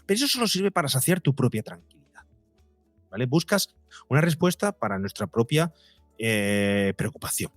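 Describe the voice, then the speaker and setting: A man's voice: medium pitch, big pitch swings, moderate pace, no room sound, soft background music. A man from explains as an interview guest, didactically, posing rhetorical questions and speaking conversationally. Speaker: psychologist and podcaster, interview guest.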